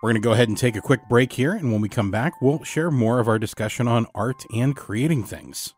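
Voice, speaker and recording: A man hosting a casual podcast interview, talking candidly in a halting, reflective way. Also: mid-range voice, darkish tone, slightly rough.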